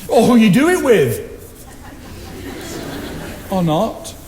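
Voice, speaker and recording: An actor playing a kindly, bumbling, loyal friend in a stage play, folksy and hesitant with a stammer. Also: male voice, high-pitched, sing-song, slow pace, full character voice. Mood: earnest. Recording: echoey theatre stage with background noise.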